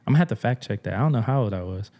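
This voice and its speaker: A man's voice: lowish voice, animated, racing, warm tone. A young man from New Orleans, a drummer and music professor, telling stories in a casual, slangy podcast interview. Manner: laid-back, slangy, laughs often.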